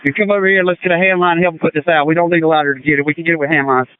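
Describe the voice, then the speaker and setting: A man's voice: fairly high pitch, fairly even intonation, rapid-fire, smooth. A man working as a fire officer, giving clipped, directive radio traffic over a two-way radio. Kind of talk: terse fireground radio traffic. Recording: noisy, tinny two-way radio transmission.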